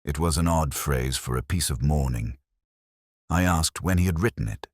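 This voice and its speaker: Very deep, brooding detective played by a man. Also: no room sound, clean studio sound. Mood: brooding, reflective. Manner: measured, literary, solemn. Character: brooding, melancholy, cerebral male English police detective. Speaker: narrator. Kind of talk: first-person detective story narration.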